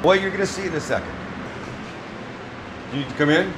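Middle-aged man, cooking host, fairly high voice, wisecracking and profane, small kitchen, faint hum behind. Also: moderate pace. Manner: joking, blunt, swears freely. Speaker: chef and cooking show host. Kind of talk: casual cooking demonstration. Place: small restaurant kitchen, indoors.

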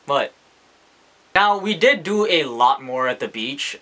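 A man's voice: mid-range voice, bright, forceful tone. A young man in a casual, rambling vlog, talking about his day with crude jokes and self-mockery, filmed first in his bedroom and then by a camera mounted in his car. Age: young adult. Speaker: vlogger. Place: small cluttered bedroom, then inside a parked car. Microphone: camera on a desk, then a dashboard car camera.

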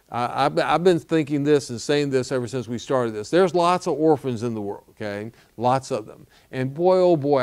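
A man's voice: medium pitch, a little mellow. Older male pastor, earnest fundraising appeal, tags lines with "okay?". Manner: earnest, repeats "okay?" and "all right?". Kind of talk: appeal for donations. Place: studio set with wooden wall backdrop.

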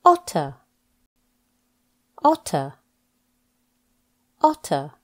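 A woman English teacher reading scripted pronunciation practice with deliberate, careful enunciation. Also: deep voice, huge pitch swings, a little mellow. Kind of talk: scripted lesson narration, reading words for practice.

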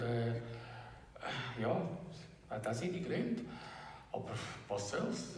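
A middle-aged or older man with a Bernese Swiss German accent, giving a halting personal account on stage and often clearing his throat. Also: mid-range voice. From Bernese Oberland, Switzerland. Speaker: practitioner giving a talk.

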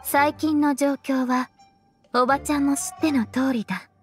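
Actress as literal-minded young woman, high pitch, deadpan, formal, game dialogue, faint music. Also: moderate pace, close to an everyday voice. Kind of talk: Japanese video game voice acting.

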